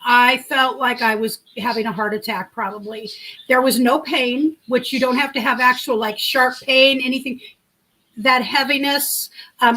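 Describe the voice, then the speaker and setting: Woman, middle-aged, livestream host, rambling casual talk, high pitch, bright tone. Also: rough recording. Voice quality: big voice. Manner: chatty, rambling, answers chat comments. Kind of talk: casual live-chat storytelling.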